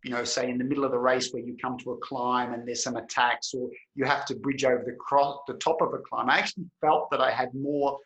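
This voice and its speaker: An Australian man hosting a casual interview, his voice gravelly and a little rough. He asks long, winding questions full of restarts. The room around him is echoey. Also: mid-range voice, little pitch movement, a little fast, hardly any pauses, a bit bright. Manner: rambling, restarting questions, joking. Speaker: podcast host.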